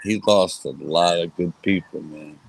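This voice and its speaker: A man with a deep voice talks casually on a chat panel. His delivery is informal, and he repeats phrases to press his point. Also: fairly even intonation, unhurried, creaky, breathy edge, rough recording.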